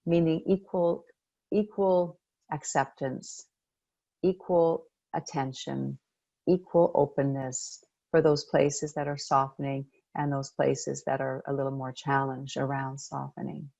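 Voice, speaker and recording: A woman leading a guided meditation as a coach, speaking gently and unhurriedly with pauses between phrases. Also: a bass voice, fairly even intonation, creaky, no room sound.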